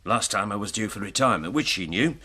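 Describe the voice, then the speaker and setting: An actor in a radio drama playing a genial, wry, self-assured retired police detective. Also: male voice, lowish voice, animated, quick pace, pushed and bright, husky, full character voice. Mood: wry, reminiscing. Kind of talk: naturalistic radio drama acting.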